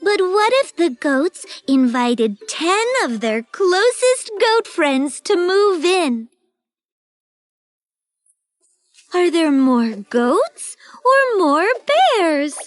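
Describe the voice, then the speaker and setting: Little cartoon girl, super high voice, earnest n curious, slow careful talking. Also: big pitch swings, dry recording, heavily acted. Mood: curious, skeptical. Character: curious, eager young girl in a storybook cartoon. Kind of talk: children's educational cartoon acting.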